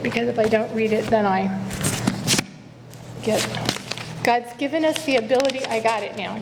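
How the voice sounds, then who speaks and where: Quiet-voiced woman preaching in a church. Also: fairly high pitch, in a large hall, noisy background. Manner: earnest, repeats "Father" in prayer, self-correcting. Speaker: guest speaker. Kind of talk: sermon with prayer. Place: church sanctuary. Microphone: stage microphone.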